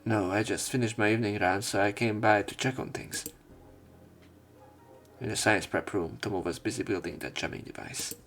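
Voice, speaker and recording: A man's voice: lowish voice, fairly even intonation, moderate pace, fairly bright tone, soft background music. A man hosting a let's play, reading visual novel lines aloud with casual commentary.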